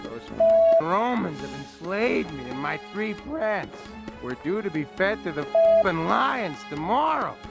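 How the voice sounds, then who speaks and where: A man's voice: high voice, very animated, a little slow, creaky, heavily acted. A man voicing an angry, foul-mouthed captive rebel chicken in a cartoon game. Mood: angry, desperate. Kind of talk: cartoon video game acting. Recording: loud music behind.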